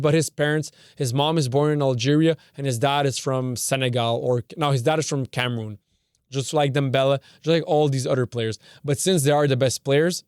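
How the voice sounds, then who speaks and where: Rambling young man with a smooth voice. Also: medium pitch, a little mellow, dry recording, clean studio sound. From Canada. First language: Serbian. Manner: rambling, self-correcting, swears casually. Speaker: podcast host. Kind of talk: casual football talk.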